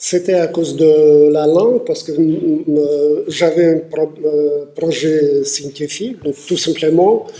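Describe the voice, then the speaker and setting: A man in his sixties from Moldova, speaking French, answering interview questions haltingly with many filler sounds. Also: on the high side, steady tone, mellow. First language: Russian. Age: 60s. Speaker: guest poet.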